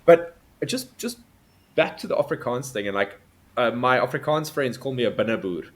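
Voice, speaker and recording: A South African man hosts a podcast in a relaxed, chatty way, throwing in quick agreements while his guest talks. His voice sits at an ordinary middle pitch for a man. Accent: South African English. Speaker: podcast host. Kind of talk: casual podcast conversation.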